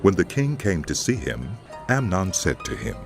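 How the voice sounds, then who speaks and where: A man's voice: deep voice, very animated, normal speed. A man reading scripted narration for a dramatized audio Bible. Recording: loud music under the voice.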